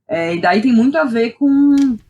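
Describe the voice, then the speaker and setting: A young Brazilian woman speaking as a podcast guest, telling personal stories in an animated, colloquial way and often checking in with the listener. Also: fairly high pitch, unhurried, highs cut off. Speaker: guest, playwright and theatre director. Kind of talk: casual personal storytelling in a podcast interview.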